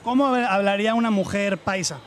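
A male reporter with a strikingly high voice. He hosts a breezy TV segment and sets up each question with a joking, playful edge. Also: brisk, slight background noise. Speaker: street reporter. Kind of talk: lighthearted TV report with street interviews.

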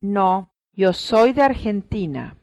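A woman modeling phrases for a language course, each one said twice with clear, deliberate enunciation. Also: medium pitch, very animated, a little mellow, no echo, studio quality. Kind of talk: repeating lesson phrases.

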